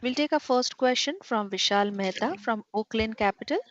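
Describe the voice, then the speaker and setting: A woman's voice: on the high side, normal speed, a little hiss or hum. A woman reading scripted announcements as a conference call operator. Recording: dull conference call audio.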